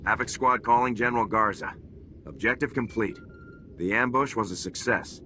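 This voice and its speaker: Forceful, low military officer. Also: male voice, moderate pace, a little hiss or hum. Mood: dutiful. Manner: clipped, military, formal. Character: composed, authoritative male special forces major. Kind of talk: video game cutscene acting.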